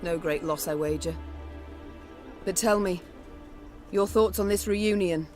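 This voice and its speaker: A woman's voice: lowish voice, a little slow, a little mellow, soft background music, lightly acted. A woman playing a proud, wry warrior queen in a video game, speaking in stern, regal, archaic phrases. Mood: dry, measured.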